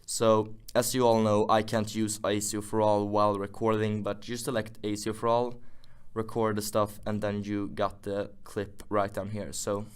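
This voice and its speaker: A man with a lowish voice and very even, flat intonation talks through a software tutorial. He rambles a little, thinking aloud as he demonstrates each step. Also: normal speed, clear, resonant tone. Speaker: music production tutor, host. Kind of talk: unscripted software tutorial narration.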